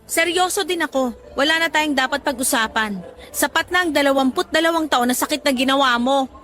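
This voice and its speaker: Sharp, high-voiced scornful woman. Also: brisk. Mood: bitter, angry. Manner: curt, snapping, sarcastic. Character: bitter, scornful middle-aged woman hurt by an ex. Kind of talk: Tagalog radio drama acting. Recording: music bed behind voice.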